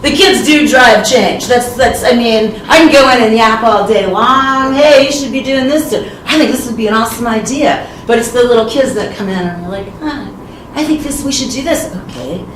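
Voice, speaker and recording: A woman with a loud voice, a school outreach coordinator, giving a lively, chatty panel talk without a microphone. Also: a little high, normal speed, a little hiss or hum. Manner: lively, chatty, self-deprecating. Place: conference room. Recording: echoey room, distant from mic.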